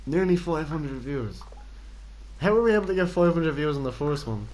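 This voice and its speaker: A man's voice: fairly high pitch, lively intonation, moderate pace, darkish tone, low-quality recording. A young man chats crudely on a homemade podcast. He giggles often and reads a letter aloud with mock seriousness. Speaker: podcast co-host. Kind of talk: casual crude banter.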